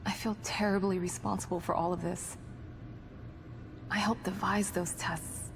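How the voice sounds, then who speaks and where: An actress playing a composed, guarded psychologist in a video game, speaking in precise, formal clinical terms. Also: female voice, medium pitch, little pitch movement, measured pace, creaky, background noise. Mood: sober, analytical. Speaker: psychologist character in a horror game. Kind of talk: video game cutscene acting. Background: background music.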